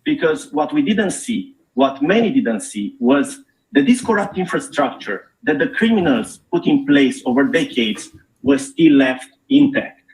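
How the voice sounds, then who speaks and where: A middle-aged man from Romania, an investigative journalist, gives an earnest, measured talk at a forum with frequent filler pauses. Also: mid-range voice, lively intonation, a little mellow, poor audio. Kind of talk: prepared public talk.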